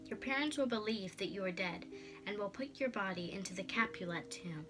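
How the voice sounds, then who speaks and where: A woman's voice: medium pitch, animated, brisk, over music, natural voice. A woman voicing a kindly, troubled friar in a storybook, earnest and reassuring. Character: kindly troubled old friar counselling a girl.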